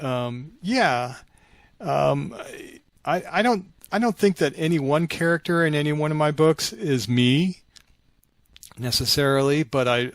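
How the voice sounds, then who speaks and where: Mature male, mid-range voice, reflective conversational storyteller for an interview, halting phrasing, dry recording. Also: mid-range voice, no echo. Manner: halting and reflective, animated when acting out remembered dialogue. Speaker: podcast guest, author of fiction.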